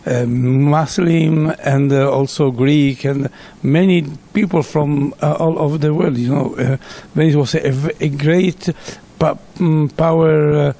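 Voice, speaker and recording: A man, an English speaker, answering interview questions in halting English with many pauses and fillers. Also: on the high side, fairly even intonation, mellow.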